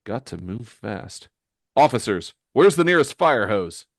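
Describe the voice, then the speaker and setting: A man playing a brisk, commanding superhero leader. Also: low voice, expressive, no echo, studio quality. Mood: urgent. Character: male scientist superhero leader, brisk, commanding, level-headed.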